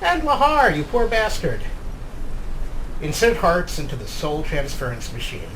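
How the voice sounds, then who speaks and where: A man narrates and role-plays a video game in a deliberate, storytelling manner. His voice is a little high and slow-paced. Game ambience is clearly audible behind him. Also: wildly swooping pitch, background noise. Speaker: game player and host.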